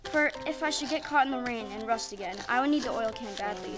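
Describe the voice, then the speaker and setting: A woman playing a gentle, polite man made of tin in a stage audio play, speaking in a measured, formal, earnest way. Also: fairly high pitch, lightly acted. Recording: live stage recording with music underneath.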